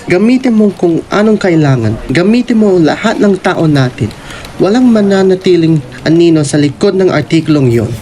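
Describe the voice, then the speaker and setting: A man's voice: sits high, lively intonation, normal speed, dark tone. Ruthless governor played by a man, swinging delivery. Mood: cold, threatening. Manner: menacing, imperious, code-switching with English. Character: ruthless, arrogant middle-aged governor and father. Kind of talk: radio drama acting.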